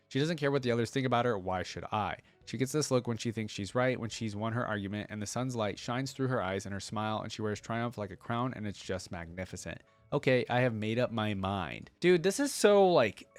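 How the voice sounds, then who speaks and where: A man hosting a reaction video, speaking in informal slang and reading text aloud with casual commentary. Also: medium pitch.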